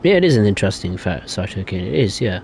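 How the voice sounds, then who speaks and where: Rambling young man, low voice. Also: big pitch swings, fast talker, gravelly, darkish tone, a little hiss or hum. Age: young adult. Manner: rambling, hesitant, opinionated. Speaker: streamer. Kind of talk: casual live-stream chat.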